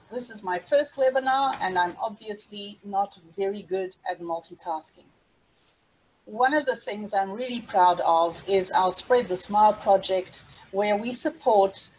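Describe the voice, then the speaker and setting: A woman's voice: medium pitch, husky, low-quality recording. A middle-aged woman with a South African accent, presenting a webinar over a video call in an earnest, scripted way with small restarts. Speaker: safari travel specialist hosting a webinar.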